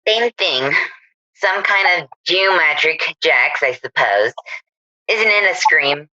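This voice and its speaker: Woman playing a low-voiced, animated gossipy housewife. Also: slow pace, no echo, muffled recording, full character voice. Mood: breezy, chatty. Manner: gossipy, breezy. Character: chatty, breezy housewife friend phoning long distance. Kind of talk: radio play acting.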